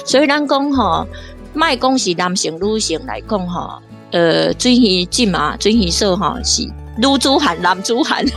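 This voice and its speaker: A woman from Taiwan, a guest reader, talking through a radio drama in a lively way, often laughing and restarting her phrases. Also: mid-range voice, unhurried, vocal fry, soft background music. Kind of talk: casual on-air discussion.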